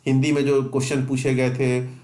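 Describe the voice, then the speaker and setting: A man's voice: mid-range voice, monotone, brisk, warm tone. Guy coaching students for exams, keeps repeating and stressing stuff, echoey room. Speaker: exam-prep teacher. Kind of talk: informal exam-prep lesson, explaining to students.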